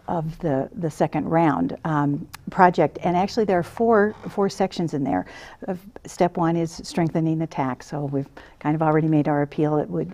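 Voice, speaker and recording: A woman's voice: on the low side, dark tone. A woman in a studio interview, speaking earnestly as a guest on a lapel mic, with frequent restarts and fillers. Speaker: interview guest, council director. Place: small TV studio set, seated.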